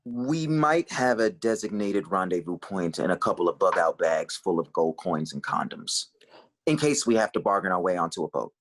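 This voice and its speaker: A man's voice: low voice, expressive, moderate pace, fairly bright tone, exaggerated voice. An actor playing a boyish, earnest, goofy young man in a scripted sitcom table read. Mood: defensive, evasive.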